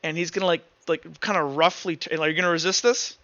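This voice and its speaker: A man's voice: fairly high pitch, fairly even intonation, fast talker, bright, forceful tone, muffled recording. A man acting as game master, casually improvising narration for a tabletop roleplaying game.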